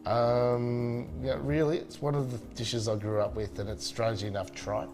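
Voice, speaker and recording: A man's voice: medium pitch, flat delivery, moderate pace, over music. A man, a chef chatting casually and enthusiastically in an interview, with many fillers and restarts. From Spain.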